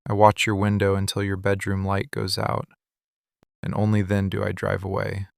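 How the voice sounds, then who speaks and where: A man acts out a dangerous, obsessive mafia boss for a boyfriend ASMR roleplay. His low voice crackles with gravel and stays in a narrow, controlled range. He speaks slowly and deliberately, commanding and possessive, with an intense air of menace. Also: completely flat delivery, no echo, studio quality. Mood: obsessive confession. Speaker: ASMR voice actor.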